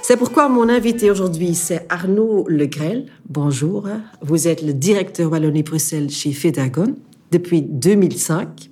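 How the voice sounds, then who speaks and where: Flemish woman hosting in French, mellow. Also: lowish voice, normal speed, breathy edge. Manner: friendly, hesitant, searching for words. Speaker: podcast host, interviewer. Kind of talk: interviewer questions.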